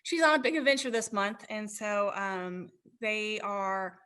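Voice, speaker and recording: A woman's voice: medium pitch, fairly even intonation, unhurried, pushed and bright, smooth. A woman chatting casually in an online meeting, like a staffer helping it along.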